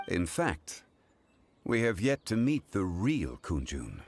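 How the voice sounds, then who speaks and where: A man voicing an ancient, dignified male god in a video game, speaking in a calm, composed and reflective way. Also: low voice, takes it slowly, dry recording, full character voice.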